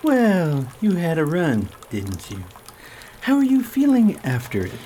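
A woman's voice: on the low side, wildly swooping pitch, measured pace, dark tone, a little hiss or hum. A woman playing a pushy, rude café patron in a radio drama.

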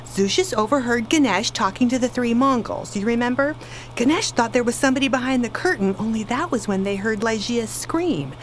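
A woman's voice: on the high side, lively intonation, brisk, slight background noise, natural voice. A woman playing a tired, earnest, exasperated wife in a radio play, passing on what her child says. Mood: matter-of-fact.

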